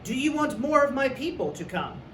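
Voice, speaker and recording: A man voicing water as a proud storybook character, in formal, measured speech. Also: extremely high pitch, very animated, fairly bright tone, pitched above the performer's own voice, full character voice. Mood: questioning. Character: the water, proud personified friend of the sun. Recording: echoey room, distant mic, faint noise.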